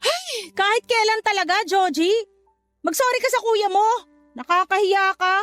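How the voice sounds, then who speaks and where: Very high-pitched feisty young mother. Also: expressive, normal speed, a bit bright, no room sound, soft background music. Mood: indignant. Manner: sassy, outspoken. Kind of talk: Tagalog radio drama acting.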